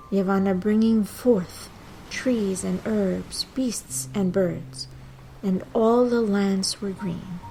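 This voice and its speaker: A woman narrating scripted mythology in a dramatic, deliberate storytelling manner with measured pauses. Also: mid-range voice, mellow, low-quality recording. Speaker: podcast host and narrator.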